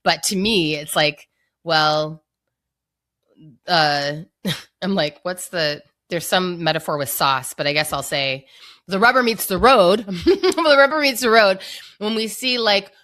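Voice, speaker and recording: An American woman answering as an interview guest, choosing her words carefully and deliberately in conversation. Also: lowish voice, a bit bright. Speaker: podcast guest, local organizer.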